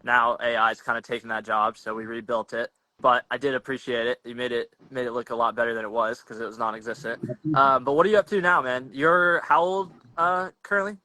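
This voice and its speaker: A man's voice: medium pitch, fairly even intonation, brisk, sharp tone, no echo, poor audio. A young man hosting a podcast in a casual, slangy, rambling chat over a video call, often restarting his sentences. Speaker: podcast host.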